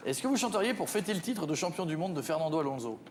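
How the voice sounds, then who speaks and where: A man's voice: on the high side, lively intonation, slight background noise. A man hosting a TV talk show, firing off playful questions at a guest. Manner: quick-fire, playful questioning.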